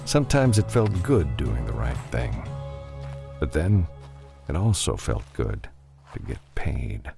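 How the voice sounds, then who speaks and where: A man's voice: a bass voice, big pitch swings, slow, vocal fry, music in the background. A man reading a science fiction novel as scripted audiobook narration.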